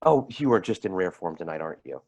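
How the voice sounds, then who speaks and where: A man's voice: deep voice, very animated, quick pace, a little mellow, dull audio, slight background noise. An actor in a staged play reading, playing a tactless, defensive man who stammers and repeats himself. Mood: defensive, needling. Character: tactless, defensive man, rambling and awkward, named Timothy.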